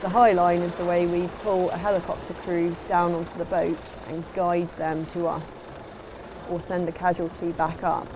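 A woman's voice: lowish voice, level tone, normal speed, background noise. A woman giving an instructional voice-over as a sailing instructor, in a plain, explanatory way.

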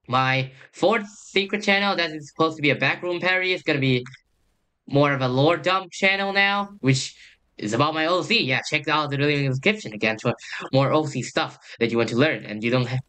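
Bright-voiced young man rambling over gameplay. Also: a little high. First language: Thai. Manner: rambling, hesitant, trails off with "I guess". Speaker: youtuber. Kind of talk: casual gameplay commentary.

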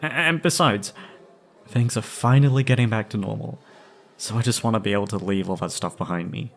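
A young man acting an anxious, wistful former captive in a scripted roleplay audio drama, speaking hesitantly and haltingly. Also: lowish voice, lightly acted. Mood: defensive, uneasy.

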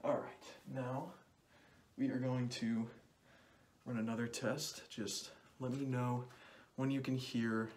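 A young man speaking softly through a cloth mask in an ASMR roleplay as an examiner, often dropping into whispers and repeating short phrases close to the mic. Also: medium pitch, little pitch movement, slow pace, long pauses. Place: small plain indoor room. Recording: clearly noisy home recording with clicks.

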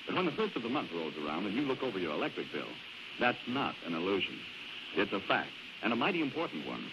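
An American man working as a radio announcer, giving a scripted, polished and emphatic sponsor pitch in 1940s style. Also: huge pitch swings, fast talker, dull audio. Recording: noisy, band-limited 1940s radio recording.

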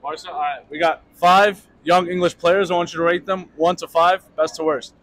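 A young man running quick street interviews outdoors on a city sidewalk, brisk and upbeat, repeating each answer back. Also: fairly high pitch, steady tone, fairly bright tone, dry recording. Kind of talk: quick street vox pop.

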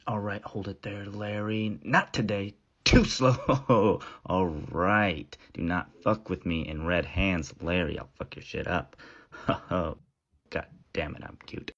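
A man voicing a foul-mouthed, haggling sea otter in a comic animal dub, rambling and muttering in a casual deadpan. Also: low voice, takes it slowly, highs cut off, exaggerated voice. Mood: cocky.

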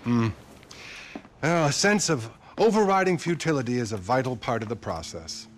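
A man acting a detached, patronising therapist with measured phrasing in a video game cutscene. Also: mid-range voice, animated, a little hiss or hum, lightly acted. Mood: detached, probing. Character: smug, detached male therapist, platitude-spouting.

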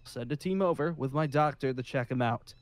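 Male actor as self-important yet awkward survivor-camp boss, mid-range voice, formal, halting, dry close sound. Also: medium pitch, no echo, close to an everyday voice. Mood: officious, careful. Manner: formal and rule-minded, with hesitant pauses and sighs. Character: officious, awkward male leader of a survivor safe haven. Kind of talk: improvised Minecraft zombie roleplay.

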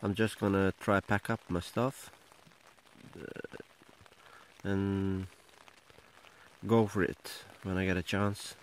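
Middle-aged Norwegian-accented man, low voice, unhurried and halting, camera-mic vlog with faint outdoor noise. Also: flat delivery, rough recording. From Norway. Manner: halting, many fillers and restarts. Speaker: outdoor vlogger. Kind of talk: casual camping vlog to camera. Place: inside a small tent, then outdoors by a lake. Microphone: handheld camera at close range.

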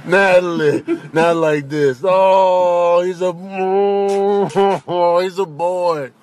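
A man voicing laid-back, put-upon cartoonish animals in a casual, drawn-out comic voice-over. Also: high voice, fairly even intonation, darkish tone. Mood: pleading.

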